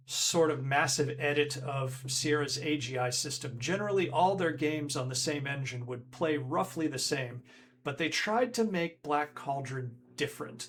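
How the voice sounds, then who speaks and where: A man in his forties chats casually as a stream host, rambling along and correcting himself as he goes. His voice sits somewhat high for a man, and he talks at an unhurried, slow pace. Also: fairly bright tone, a little hiss or hum. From Northern Ireland. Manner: rambling, self-correcting, chatty. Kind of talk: casual chat on a retro game stream.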